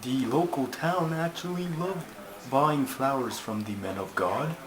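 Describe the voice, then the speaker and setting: A man's voice: mid-range voice, measured pace, fairly bright tone, natural voice. A man voicing a pompous, schoolmasterly turtle puppet who tells stories in a ponderous, formal, easily put-out way. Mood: measured storytelling. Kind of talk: comic scripted storytelling. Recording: noisy amateur home recording.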